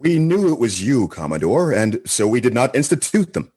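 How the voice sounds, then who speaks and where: An actor playing a polite, diplomatic and slightly hesitant space station captain in a comedy radio play. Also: male voice, medium pitch, very animated, normal speed, mellow, slightly rough. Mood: explaining.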